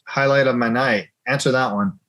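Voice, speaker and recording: A man's voice: mid-range voice, normal speed, a bit bright. Casual teasing male trading host. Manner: casual, teasing, laughs often. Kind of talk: live trading chat.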